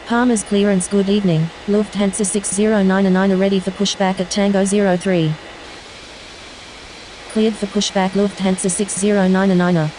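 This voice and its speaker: A woman's voice: medium pitch, level tone, normal speed, warm tone, poor audio. A woman speaking as an airline pilot in scripted air traffic radio phraseology. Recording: faint background noise.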